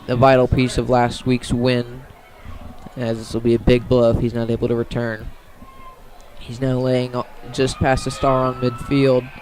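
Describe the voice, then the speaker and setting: A man doing live radio play-by-play of a high school football game in clipped, descriptive phrases. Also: a little mellow, low-quality recording. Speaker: play-by-play sports commentator.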